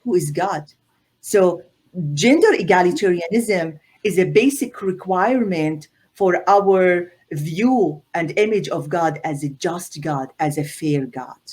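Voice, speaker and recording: Woman, middle-aged, lively pitch, emphatic, webcam call. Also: takes it slowly. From Syria. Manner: earnest, emphatic, repeats words as she builds a point. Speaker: guest scholar. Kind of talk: explanatory interview on a video call. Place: indoor room on a video call. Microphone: laptop or webcam mic on a video call.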